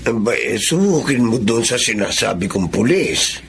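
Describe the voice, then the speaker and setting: A middle-aged man acting a poor, humble father with a gravelly voice in a Filipino melodrama. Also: mid-range voice, very animated, normal speed, fairly bright tone. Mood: coaxing.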